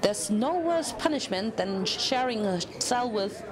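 A woman's voice: fairly high pitch, lively intonation, measured pace, crowd noise. A woman voicing an exasperated, sardonic female pony prisoner with a weary, dry manner in a story reading.

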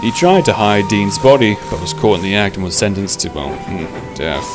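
A man's voice: lowish voice, expressive, normal speed, music in the background, lightly acted. A man voicing a cheerful, offhand workman in a children's story, casually dismissive of danger. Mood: amused, offhand. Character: cheerful, breezy workman telling ghost gossip.

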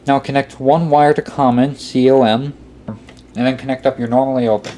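A man's voice: medium pitch, fairly even intonation, fairly quick, dark tone. Man giving hands-on instructions, noisy recording. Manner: step-by-step, repeats himself. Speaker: tutorial presenter. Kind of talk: casual hands-on how-to instruction. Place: indoor workbench. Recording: clearly noisy amateur room recording. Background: clear background noise.

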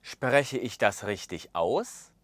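Man, thirties, language teacher, lively animated pitch, dry studio sound. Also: slow pace, dry recording. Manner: expressive, gesturing, acts out example phrases. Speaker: language course presenter. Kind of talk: scripted lesson narration. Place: studio with orange backdrop.

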